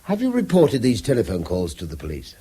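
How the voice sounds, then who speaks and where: An actor playing a conscientious, strained English tutor in a 1970s British radio drama, educated and forthright in manner. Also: male voice, mid-range voice, wildly swooping pitch, a little fast, dark tone, slight background noise. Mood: concerned. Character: conscientious male tutor under a slander campaign, strained, forthright.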